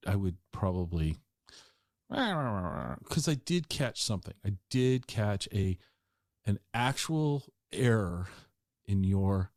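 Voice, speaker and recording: A middle-aged man hosting a podcast interview, chatty and teasing with animated asides. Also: low-pitched, no room sound, studio quality. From Washington State. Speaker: podcast host. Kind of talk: casual interview chat.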